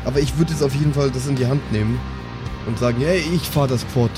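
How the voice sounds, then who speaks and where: A man playing in an improvised tabletop audio show, casual and slangy with a creaky voice. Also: medium pitch, quick pace. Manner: slangy, colloquial. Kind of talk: casual improvised role-play banter. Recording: music bed behind the voice.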